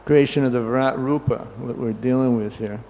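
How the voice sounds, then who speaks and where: An older man giving an informal scripture class, talking loudly and in a halting, rambling way full of ums and you-knows. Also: medium pitch, steady tone, long reverb tail. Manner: loud, says he has a loud voice.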